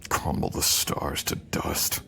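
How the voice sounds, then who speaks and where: A man playing a menacing, possessive supernatural boyfriend in a romantic ASMR roleplay, speaking in an intimate, brooding and often breathy way. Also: background noise, lightly acted. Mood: jealous menace. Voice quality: deep, gravelly, often breathy and whispered. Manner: slow, brooding, teasing intimate delivery.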